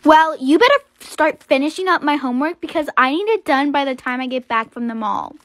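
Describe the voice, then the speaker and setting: A woman's voice: sits high, brisk, fairly bright tone, no echo. A woman voicing a spoiled, bossy teenage stepsister with a dismissive, whiny manner.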